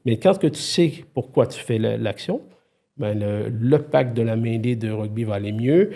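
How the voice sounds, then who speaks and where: Husky Québécois man, warm voice. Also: mid-range voice, moderate pace. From Quebec. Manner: lively, animated storyteller, frequent restarts. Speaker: podcast guest, IT executive. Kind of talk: casual conversation.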